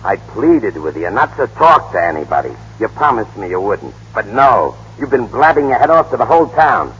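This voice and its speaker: An actor playing a blunt, exasperated American minder in a vintage radio drama, scolding in slangy talk. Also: male voice, fairly low pitch, big pitch swings, moderate pace, fairly bright tone, slightly rough, exaggerated voice. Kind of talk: 1930s-40s radio drama acting. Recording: dull, muffled old radio broadcast.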